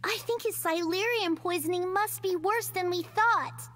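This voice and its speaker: A woman voicing a sharp-tongued, defensive young girl in a video game, with a sarcastic edge. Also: very high voice, normal speed. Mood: worried.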